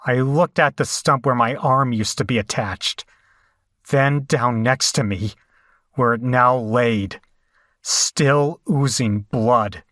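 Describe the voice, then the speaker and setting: Man playing shaken survivor, slow grave narration, horror audio drama, dry close sound. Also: medium pitch, a bit bright, no echo. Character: shaken horror survivor recounting a bloody escape.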